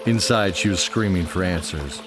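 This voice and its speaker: A man's voice: sits low, normal speed, music in the background. A man narrating a scripted fantasy audio drama.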